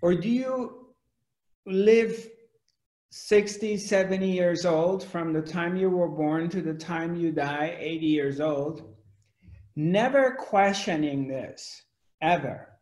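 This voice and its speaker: A man in his forties speaks slowly to a webcam in a room at home, asking question after question with broad hand gestures. His voice sits a little high. The room adds a noticeable echo. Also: a little mellow. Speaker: spiritual teacher. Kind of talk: spiritual discourse to camera. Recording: echoey room.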